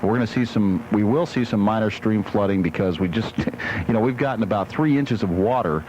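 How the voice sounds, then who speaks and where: A man's voice: on the low side, a little fast, a little mellow. A man working as a radio forecaster, giving a chatty weather report. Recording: dull AM radio aircheck, faint noise.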